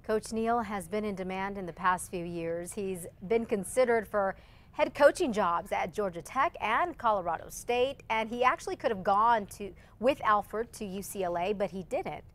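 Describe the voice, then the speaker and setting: A woman news anchor reading morning headlines briskly, with light friendly banter and a slightly scratchy voice. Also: mid-range voice. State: scratchy voice from allergies.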